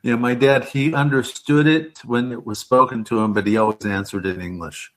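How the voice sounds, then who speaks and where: A man hosting a casual interview, speaking conversationally and haltingly as he searches for his words. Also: medium pitch, low-quality recording. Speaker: interviewer, channel host.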